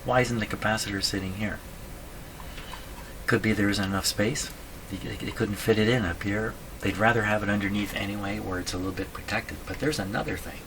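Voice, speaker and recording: A man thinking aloud in a casual, halting way as he explains a repair at his workbench, often putting questions to himself. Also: fairly low pitch, steady tone. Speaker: radio repair hobbyist, host. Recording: clearly noisy room recording.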